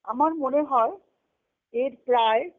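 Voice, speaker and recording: Over a narrow, muffled conference line, a woman teaches in a spiritual discourse. She speaks slowly, going back over key phrases and putting them in other words. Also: sits high. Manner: repeats and rephrases key phrases for explanation. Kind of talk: spiritual lecture, reading quotations and explaining them. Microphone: conference call. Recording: muffled lo-fi conference call audio.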